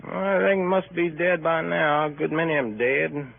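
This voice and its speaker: A man playing an easygoing, deferential servant with a Southern US accent and a gravelly, husky voice in old radio comedy. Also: a little high, fairly even intonation, moderate pace. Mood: musing. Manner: folksy, deferential, musing. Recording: tinny old radio broadcast.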